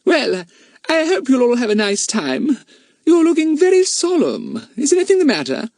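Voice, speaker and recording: A narrator voicing a brisk, no-nonsense middle-aged mother in a storybook. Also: high voice, wildly swooping pitch, darkish tone, no room sound, studio quality, pitched above the performer's own voice, heavily acted. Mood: kindly. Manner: brisk and impatient.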